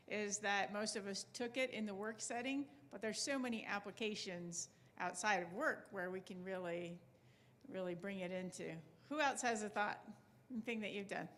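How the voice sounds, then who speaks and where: Bright-voiced woman leading a group discussion. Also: mid-range voice, slight background noise. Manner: affirming, inviting responses. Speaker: workshop co-facilitator.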